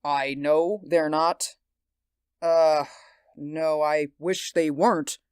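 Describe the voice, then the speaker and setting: An actor in a 1940s radio drama playing a haunted, sleepless man who pleads in an intense, hushed voice. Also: fairly high pitch, steady tone, extremely slow, smooth, dry recording, clean studio sound. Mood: brooding.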